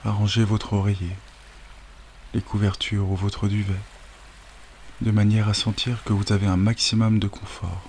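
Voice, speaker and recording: A man guiding a sleep meditation as a yoga nidra teacher, speaking calmly and soothingly with long, unhurried pauses. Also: fairly low pitch. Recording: steady wave noise over the voice. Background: sound of ocean waves.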